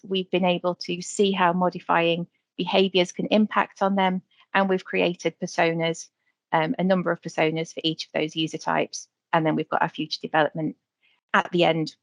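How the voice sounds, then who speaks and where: A woman presenting slides on a webinar video call, talking quickly with some fillers. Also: on the low side, dry recording. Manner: quick, slightly hurried delivery with fillers.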